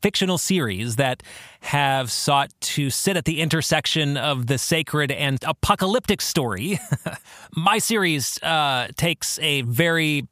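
A man hosting a solo podcast in a reflective way, speaking earnestly with deliberate, emphatic phrasing. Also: fairly high pitch, lively intonation, bright, forceful tone, no echo, clean studio sound. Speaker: podcast host and author.

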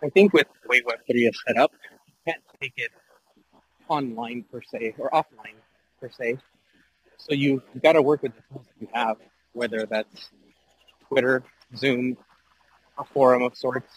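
Measured man answering on a panel. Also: mid-range voice, low-quality recording. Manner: measured, deliberate, with "uh" and "um" fillers. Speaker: guest panelist. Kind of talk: casual online audio chat.

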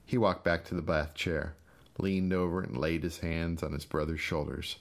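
A man's voice: sits low, flat delivery, normal speed. A man reading literary fiction aloud as a scripted story narration. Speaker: short story reader.

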